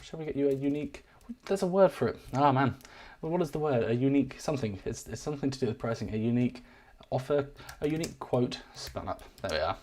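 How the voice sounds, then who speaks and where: A man giving a live-streamed software walkthrough, rambling and self-deprecating, his voice wearing thin. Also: medium pitch, quick pace, a little hiss or hum. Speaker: software demo presenter.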